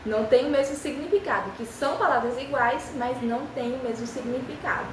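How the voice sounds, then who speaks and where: A young woman who is a native Spanish speaker, speaking Portuguese with a Spanish accent and teaching beginners with an encouraging manner, repeating phrases slowly for practice. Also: high voice, roomy sound, distant mic, background noise. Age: young adult. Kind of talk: beginner language lesson.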